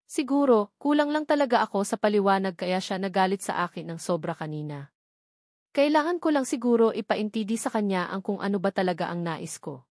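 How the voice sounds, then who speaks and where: A woman's voice: mid-range voice, darkish tone, no echo. Female audiobook narrator, Filipino accent, mid-range voice, romance story told in first person, dry sound.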